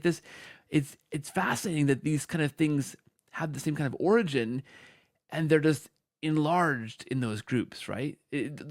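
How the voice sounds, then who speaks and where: A man speaks as a podcast host in a fairly high, creaky voice, recorded close and dry. He discusses conversationally and emphatically, punctuating his points with little check-in questions. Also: no room sound. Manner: emphatic, often tags phrases with "right?".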